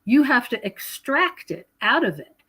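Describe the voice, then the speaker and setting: A woman's voice: fairly high pitch, sing-song, a little slow, a bit bright, husky. A woman guest on a podcast, talking in an emphatic, preachy way about her beliefs, often repeating words and checking in with the listener. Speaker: podcast guest, author and researcher of prophecy. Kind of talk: conversational interview, spiritual explanation.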